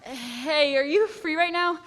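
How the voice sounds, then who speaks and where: A woman's voice: sits high, slow, very noisy. A teenage actress playing a bubbly, friendly popular girl who talks in an upbeat, gushing way. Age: teens.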